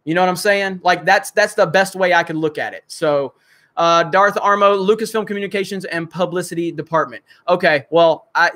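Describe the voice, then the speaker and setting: A man's voice: sits high, a little fast, a bit bright. A man hosting a casual livestream, rambling with many fillers as he reads viewer comments and answers them. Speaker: livestream host. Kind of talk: casual livestream chat reading super chats.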